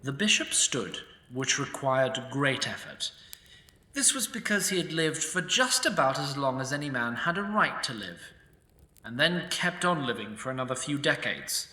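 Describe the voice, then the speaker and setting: A man's voice: mid-range voice, very animated, moderate pace, pushed and bright. A man narrating a comic fantasy story in a dry, wry storytelling manner. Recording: echoey room, from a distance.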